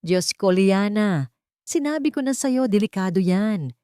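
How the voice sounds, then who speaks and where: A woman's voice: mid-range voice, expressive, measured pace, mellow, clean tone, no room sound, natural voice. A woman storyteller puts on a protective female friend in an audio drama. She is anxious and urgent, full of concern. The recording is very dry. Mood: alarmed, urging.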